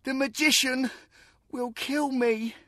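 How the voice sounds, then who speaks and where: A man's voice: very high voice, fairly even intonation, slow pace, bright, forceful tone, dry recording, clean studio sound, pitched above the performer's own voice, heavily acted. A man voicing a sad, humble young boy in a storybook reading, earnest and pleading. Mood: worried.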